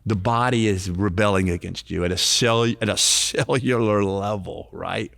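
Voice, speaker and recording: A man near sixty with a low, gravelly voice, co-hosting a faith-based recovery podcast. He talks earnestly, repeating and restarting phrases as he builds a point. He is recorded close and dry, with no room echo. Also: normal speed, fairly bright tone. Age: 50s. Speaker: podcast co-host, recovery ministry.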